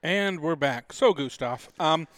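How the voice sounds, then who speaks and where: A man's voice: fairly high pitch, lively intonation, unhurried, over music. A man in his forties hosting a podcast in a casual, joking chat style. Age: 40s. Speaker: podcast host.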